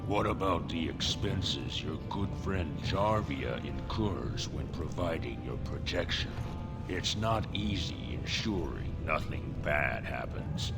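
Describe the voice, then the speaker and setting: Deep, menacing slum thug. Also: male voice, animated, loud music behind the voice, full character voice. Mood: quietly menacing. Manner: slow, mock-polite, threatening. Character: menacing, smug male slum thug extortionist. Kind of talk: video game voice acting.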